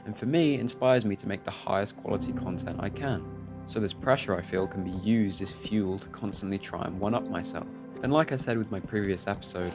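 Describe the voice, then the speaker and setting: A teenage man speaking calmly and reflectively, commenting over his gameplay. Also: on the low side. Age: teens. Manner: soft-spoken, reflective. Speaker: YouTuber. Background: faint music.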